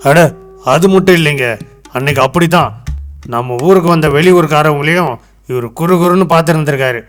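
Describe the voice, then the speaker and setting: A man voicing an earnest, grumbling village man in an animated moral story. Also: on the high side, brisk, faint music behind, lightly acted. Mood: aggrieved. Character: embarrassed, grumbling village man worried about local honour.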